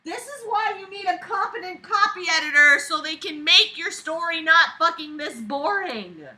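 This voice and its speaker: Sharp, bright-voiced, scornful woman reading aloud. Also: extremely high pitch, lively intonation, moderate pace. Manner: sarcastic, scornful, swearing freely; theatrically exasperated asides. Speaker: reader reviewing a book aloud. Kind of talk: reading a book aloud with exasperated running commentary.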